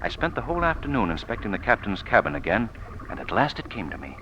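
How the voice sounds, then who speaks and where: Mysterious crime-fighting man, low voice, animated delivery, authoritative, deliberate, vintage radio thriller, noisy recording. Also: muffled recording. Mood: confident, instructing. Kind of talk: old-time radio drama acting.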